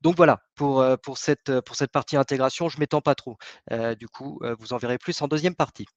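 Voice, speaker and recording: A man's voice: medium pitch, fairly even intonation, fairly quick, no echo, highs cut off. A man giving a product presentation in a webinar, hesitant, with many fillers and restarts.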